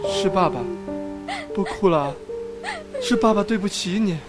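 A man playing a gentle, apologetic father who coaxes and consoles his daughter in an audio drama. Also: high-pitched, huge pitch swings, normal speed. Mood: tearful apology. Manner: soft, apologetic, coaxing. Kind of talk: Chinese audio drama acting. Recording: loud music over the voice.

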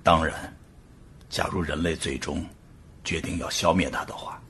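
A man playing a grave, uneasy scientist giving a measured, halting formal speech in a radio drama. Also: extremely low pitch, steady tone, pushed and bright, slight background noise. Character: grave, uneasy male scientist professor addressing a council.

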